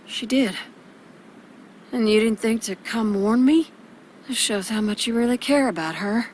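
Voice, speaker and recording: A woman's voice: a little high, a little slow, a little mellow, slight background noise, close to an everyday voice. Woman playing a defensive, bitter mother. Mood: indignant. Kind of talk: video game voice acting.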